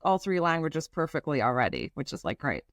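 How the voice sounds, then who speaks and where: A woman from Germany, a guest on an interview podcast, chatting in a quick, friendly way and explaining at length. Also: low-pitched, very animated, dry recording, clean studio sound. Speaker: venture capital guest. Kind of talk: casual interview chat.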